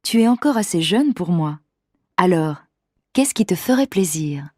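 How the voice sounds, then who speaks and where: Affectionate, coaxing wife played by an actress, mellow relaxed voice, very dry studio sound. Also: female voice, lowish voice, a little slow, no room sound. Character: affectionate, insistent wife planning a birthday gift. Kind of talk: scripted language-lesson dialogue.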